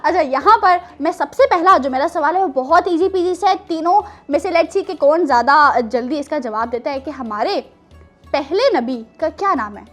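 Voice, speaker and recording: A high-voiced woman presents a children's storytelling show. She talks in a teacherly, playful way, quizzing and teasing, with music softly behind her. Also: animated, moderate pace.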